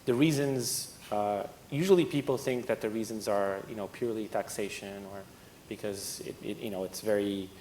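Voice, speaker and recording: A man from Portugal speaking as a panelist at a conference, relaxed and conversational. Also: normal speed. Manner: relaxed, conversational, laughs often. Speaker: panelist, fund partner. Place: conference stage. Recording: echoey hall with faint rumble.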